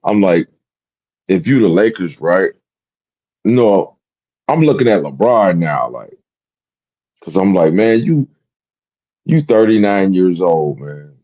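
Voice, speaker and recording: A man's voice: deep voice, sing-song, takes it slowly, long pauses, highs cut off. A man hosting a sports talk show, rambling and repeating himself in casual, profane commentary. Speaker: sports podcast host.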